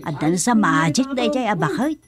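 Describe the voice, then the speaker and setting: A woman's voice: medium pitch, lively intonation, normal speed, over music, natural voice. Radio comedy role: Filipino village woman, actress, mid-range voice, knowing neighbourly delivery. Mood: explaining. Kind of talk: Ilocano radio comedy drama acting.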